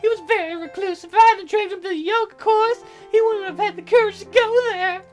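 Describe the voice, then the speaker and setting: A woman's voice: extremely high pitch, fairly quick, faint music behind, voice pushed up. A woman voicing a sweet, shocked young woman jogger in a visual novel. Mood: soft, wistful.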